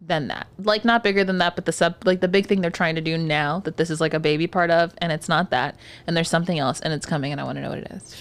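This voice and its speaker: A woman's voice: low-pitched, steady tone, quick pace, few pauses, clear, resonant tone. A woman co-hosting a casual podcast, frank and blunt, sometimes trailing off as she muses. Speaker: podcast co-host.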